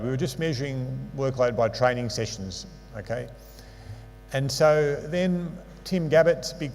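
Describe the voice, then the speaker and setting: A man's voice: mid-range voice, darkish tone. A man giving a conference lecture on sports medicine with slides, explaining concepts patiently and adding dry asides. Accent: non-local English accent. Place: lecture hall. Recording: hall reverb with mains hum.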